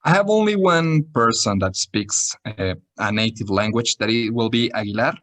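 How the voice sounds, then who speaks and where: A man's voice: lowish voice, expressive, moderate pace, a bit bright, pitched below the performer's own voice. A man voicing a proud conquistador commander. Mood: commanding.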